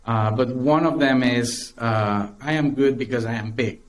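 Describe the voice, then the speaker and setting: A man's voice: mid-range voice, measured pace. A man from Honduras with a Honduran Spanish accent, a podcast guest who talks with many fillers and restarts. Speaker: guest, tech company cofounder. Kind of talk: podcast interview.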